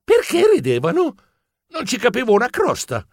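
A man playing an excitable, bumbling, polite mouse journalist who tells his own adventure as theatrical children's storybook narration. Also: extremely high pitch, normal speed, no room sound, clean studio sound, heavily acted. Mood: bewildered, embarrassed. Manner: theatrical, expressive, comic exclamations.

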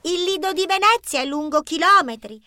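A woman playing an excitable, eager young mouse child with a squeaky voice in a children's audio story. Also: fairly quick, no echo, heavily acted. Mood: puzzled.

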